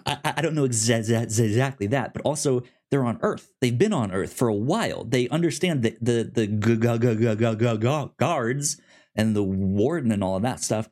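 A man's voice: mid-range voice, moderate pace, darkish tone. A man co-hosting a podcast in casual chat, rambling with frequent restarts and stammers. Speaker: podcast co-host.

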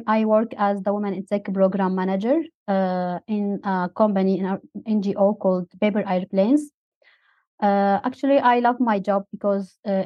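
A woman in her thirties from Syria, speaking English as a second language, telling her story as an interview guest with many pauses and fillers. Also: mid-range voice, no echo, muffled recording. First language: Arabic. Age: 30s. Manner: hesitant, many uh fillers, non-native phrasing.

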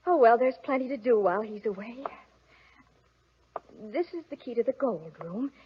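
An actress playing a sweet, obedient, curious young fairy-tale bride in an earnest, theatrical old-radio style. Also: female voice, a little high, expressive, normal speed, lightly acted. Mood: curious, nervous. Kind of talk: 1940s radio acting. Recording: muffled, noisy old radio recording.

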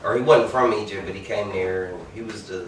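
A man on a podcast talking bluntly and profanely, breaking into loud outbursts. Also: fairly low pitch, fairly even intonation, a little fast. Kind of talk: casual heated chat. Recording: roomy room from a distance, clear hiss.

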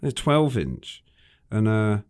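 Brief: male radio guest, earnest, halting delivery. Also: on the low side, very animated, darkish tone, clean tone. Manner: hesitant, many fillers and restarts, earnest. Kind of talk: casual radio chat.

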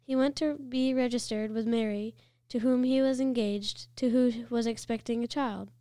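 A woman reading a scripture passage aloud in a measured, clear way. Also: a little high, fairly even intonation, warm tone, no echo, clean studio sound. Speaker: scripture reader.

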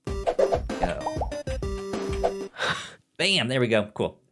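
A man's voice: medium pitch, big pitch swings, drawn-out words, fairly bright tone, faint music behind. Stuttering man, casual podcast host. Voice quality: frequent stutter repeating first sounds of words. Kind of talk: casual TV recap chat.

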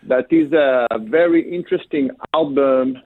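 A man born in Africa, a singer and producer, answering radio interview questions by phone with many pauses and fillers. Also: mid-range voice. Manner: halting, many fillers, slow and careful. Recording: tinny phone line.

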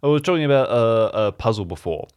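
A man's voice: mid-range voice, animated, quick pace, a little mellow, clear, resonant tone, natural voice. A man playing a patient, insistent man who probes with riddles in an improvised audio drama. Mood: coaxing. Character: persistent, probing man talking in puzzle riddles.

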